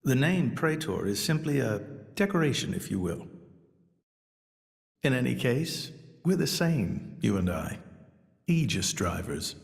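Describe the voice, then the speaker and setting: An actor playing a serene, courteous religious leader in a video game, measured and formal, in a reverberant hall. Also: male voice, medium pitch, sing-song, gravelly. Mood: calm, courteous. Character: serene, courteous male religious leader, youthful yet stately. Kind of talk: video game cutscene acting.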